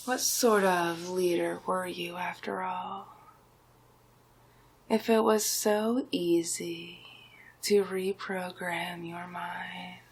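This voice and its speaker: A smug, scheming male sorcerer, acted out by a woman speaks in an ASMR villain roleplay. The voice is low-pitched for a woman and breathy, often sinking to a whisper. Each phrase comes very slowly and deliberately, with condescending, hypnotic command. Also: exaggerated voice.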